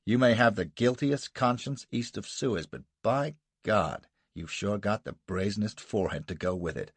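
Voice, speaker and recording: A man's voice: normal speed, husky, dry recording. Man doing a barking American colonel. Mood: blustering. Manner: barking, scornful, booming outbursts. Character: gruff brawling American colonel, blustering.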